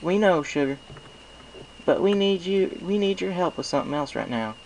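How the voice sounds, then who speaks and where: A man's voice: high-pitched, expressive, moderate pace, gravelly, a little mellow, slight background noise, pitched above the performer's own voice, poor audio. A man voicing a caring, plainspoken female farm pony in a storybook reading, folksy, firm and encouraging. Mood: matter-of-fact. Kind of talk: acted audiobook character dialogue.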